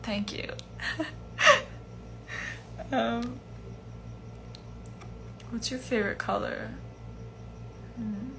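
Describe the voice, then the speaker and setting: A young woman in her twenties answering fan questions in a casual livestream from home, relaxed and chatty with lots of fillers. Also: a little high, very slow, creaky, long pauses, noisy background. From Iceland. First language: Chinese. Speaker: musician hosting a livestream Q&A. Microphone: phone livestream.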